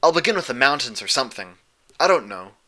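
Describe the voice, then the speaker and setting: A man puts on a brash young female pegasus for an audio story. The talk is blunt, slangy and challenging, with a hard, bright edge. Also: medium pitch, sing-song, fast. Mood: sullen defiance.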